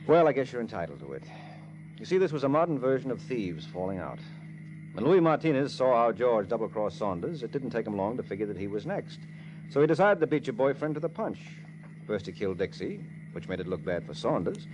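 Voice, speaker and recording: An actor playing a wry, self-assured American private detective in a 1950s radio drama. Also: male voice, medium pitch, very animated, close to an everyday voice. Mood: explaining coolly. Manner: wry, slangy, brisk. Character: wisecracking, self-assured male private detective, cool and flirtatious. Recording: noisy old radio recording with hum.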